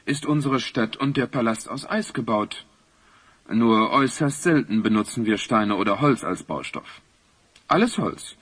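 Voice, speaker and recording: A man's voice: medium pitch, breathy edge. A man playing a commanding, thrifty king of an icy realm in a fantasy audio drama, regal and declamatory.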